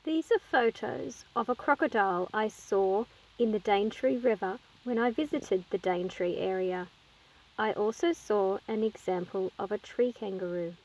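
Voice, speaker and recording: A woman hosting a children's read-aloud, speaking in simple, deliberate phrases as if straight to young listeners. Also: medium pitch, darkish tone, poor audio. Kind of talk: slow picture-book read-aloud with friendly asides to young viewers.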